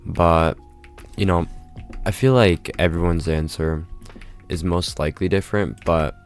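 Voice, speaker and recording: A man's voice: low-pitched, measured pace, soft background music. A congested man speaking casually to his viewers in a rambling monologue, tagging nearly every phrase with "right?". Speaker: vlogger.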